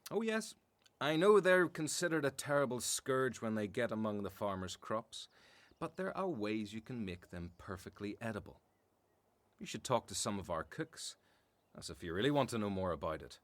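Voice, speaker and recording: A man's voice: lowish voice, big pitch swings, moderate pace. A man playing a devout, helpful member of a desert community, explaining sincerely in a radio drama. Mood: amused, reassuring. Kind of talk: religious radio drama acting.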